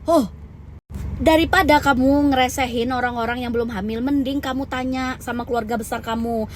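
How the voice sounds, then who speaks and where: Young woman vlogger, higher voice, blunt and emphatic venting, faint traffic behind. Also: steady tone, moderate pace. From Lampung, Indonesia. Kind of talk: candid storytelling rant.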